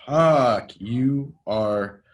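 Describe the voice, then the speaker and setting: An actor playing a hungover, cocky young actor who swears, at a table read. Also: male voice, medium pitch, extremely slow, slight background noise. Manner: drawling, swearing.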